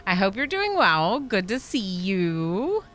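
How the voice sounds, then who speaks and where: A woman's voice: medium pitch, very animated, slow, a bit bright. A woman live-streaming a game, chatting casually with viewers as she plays and reads along. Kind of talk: casual live-stream chat while playing a role-playing game.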